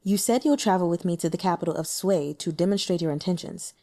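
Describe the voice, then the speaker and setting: A woman voicing a shrewd, composed male imperial envoy in an audiobook, measured and formal. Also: on the low side, dark tone.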